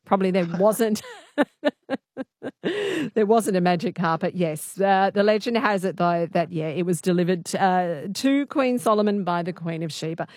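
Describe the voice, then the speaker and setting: A woman's voice: on the low side, normal speed, darkish tone, no echo, studio quality. A woman with an Australian accent hosting a live radio quiz, chatting with callers in an encouraging way.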